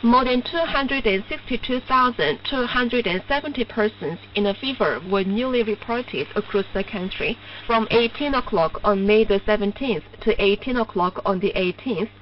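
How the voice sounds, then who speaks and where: Korean-accented woman reading stiff official news on shortwave, muffled, distant, bit of hum. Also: fairly high pitch. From North Korea. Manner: measured, stiffly formal official delivery. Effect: shortwave radio. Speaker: newsreader. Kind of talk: formal scripted news reading.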